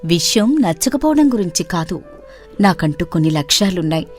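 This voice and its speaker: A woman voicing a wise, composed young village woman who speaks in a measured, polite and thoughtful way, in an animated story. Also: on the low side, faint music behind, lightly acted. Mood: gentle.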